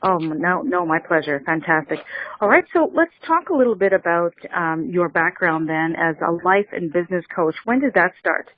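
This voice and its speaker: A woman's voice: lowish voice, animated, normal speed. A woman hosts a radio show and greets her guest with enthusiastic energy, often restarting her sentences. Her voice comes through a tinny, phone-like line. The sound is dry, with no room echo. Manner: enthusiastic, many fillers and restarts. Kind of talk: radio interview hosting.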